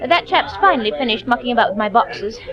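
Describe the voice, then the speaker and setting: Woman acting posh young british lady traveler, chatty n brisk, higher voice, very lively, old radio play. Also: close to an everyday voice. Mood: breezy, bright. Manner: brisk, chatty, clipped society talk. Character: breezy, confident young upper-class female traveler from Europe. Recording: muffled old radio, crowd behind.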